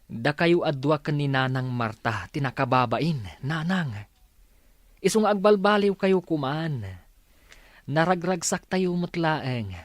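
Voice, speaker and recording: A man's voice: fairly high pitch, expressive, unhurried, no echo, studio quality. An Ilocano-accented man playing a sensible grown son who earnestly admonishes in a radio drama. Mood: earnest, admonishing.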